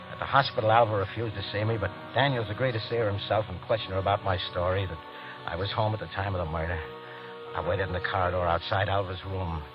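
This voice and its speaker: A man's voice: on the low side, lightly acted. An American man acting a desperate accused husband in an old radio drama, agitated, stammering and pleading over his alibi. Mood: weary, bitter. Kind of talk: 1940s-50s radio drama acting and narration. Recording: muffled vintage radio recording.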